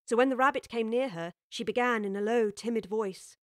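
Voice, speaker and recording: Casting: female audiobook narrator, slightly high voice, scripted storytelling, dry studio-style sound. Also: a little fast, no echo.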